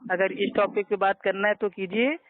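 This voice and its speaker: A man's voice: sits high, fairly even intonation, moderate pace. A man in an argumentative phone debate, speaking insistently and appealing to a moderator. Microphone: telephone. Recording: tinny narrowband conference phone call.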